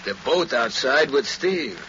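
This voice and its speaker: A man's voice: mid-range voice, lively intonation, a little slow, sharp tone. An actor playing a tough, sneering henchman who slangily defers to his boss in an old-time radio crime drama. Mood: eager reporting. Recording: noisy, muffled vintage radio recording.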